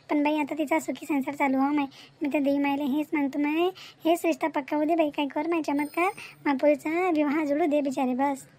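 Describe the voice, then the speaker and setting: A woman's voice: high voice, darkish tone, slight background noise, natural voice. A woman voicing an earnest, pious village woman in a cartoon, speaking emphatically with a rural Varhadi Maharashtrian accent. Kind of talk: animated moral-comedy cartoon dialogue.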